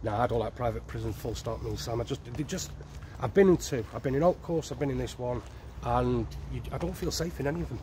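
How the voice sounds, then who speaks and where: A middle-aged man explains things in an earnest, matter-of-fact way. He speaks into a handheld mic outdoors on a street at night. Traffic and street noise are clearly audible behind him. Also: medium pitch, fast talker, hardly any pauses. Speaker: interview guest, former prisoner. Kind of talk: casual interview answers.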